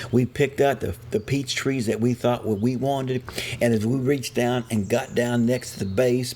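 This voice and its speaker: A man's voice: mid-range voice, a little mellow, slight background noise. An older man from West Virginia with an Appalachian accent, telling a true story casually in a folksy, wry way. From West Virginia, USA. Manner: folksy, wry, chuckling asides. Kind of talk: casual first-person storytelling. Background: music.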